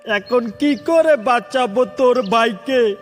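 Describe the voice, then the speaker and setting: A man acts a worried cartoon father bird. He scolds reproachfully at a slow pace in a high voice, with long reverb around it. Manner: reproachful, drawn-out. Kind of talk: Bengali children's cartoon storytelling. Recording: heavy reverb with music.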